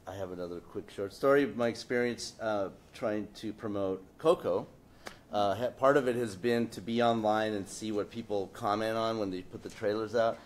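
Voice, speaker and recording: A man's voice: on the low side, normal speed, slight background noise. A man on a discussion panel telling a casual, rambling anecdote full of fillers, getting indignant as he goes.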